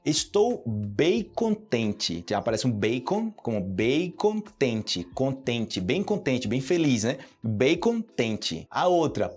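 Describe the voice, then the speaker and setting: A man's voice: mid-range voice, very animated, takes it slowly, few pauses, husky. A Brazilian man with a Brazilian Portuguese accent, teaching Portuguese in a casual, chatty way and often checking in with his listeners. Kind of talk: casual explanatory lesson.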